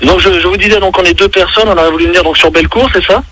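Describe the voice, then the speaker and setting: Man playing a fast-talking, fussy hotel guest. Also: high voice, steady tone, bright, forceful tone, voice pushed up. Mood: earnest, doting. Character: polite, fussy male hotel guest, devoted pet owner. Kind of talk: prank phone call. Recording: faintly noisy radio prank call.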